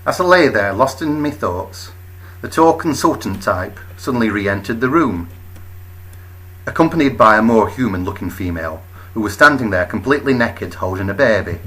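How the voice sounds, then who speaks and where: A man narrating a comic first-person story as a storyteller, expressive, with wry, deadpan understatement. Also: fairly low pitch, moderate pace, rough recording.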